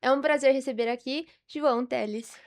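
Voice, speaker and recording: A woman's voice: high voice, moderate pace. A young woman with a Brazilian accent, hosting a casual podcast interview in an enthusiastic, informal way. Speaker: podcast host.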